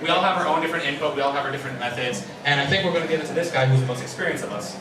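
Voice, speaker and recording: A man's voice: on the high side, racing, reverberant, crowd noise. A man giving an informal panel talk to an audience, stammering and rambling loosely, recorded from a distance in a noisy room. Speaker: convention panelist, fan dubber.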